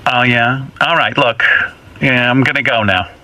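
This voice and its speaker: Man as a slow, nagging elderly mother. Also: mid-range voice, fairly even intonation, pushed and bright, slight background noise. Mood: huffy. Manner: halting, emphatic, repetitive lecturing. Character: nagging elderly mother, eighty-five, fussy, lecturing. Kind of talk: comedy radio bit.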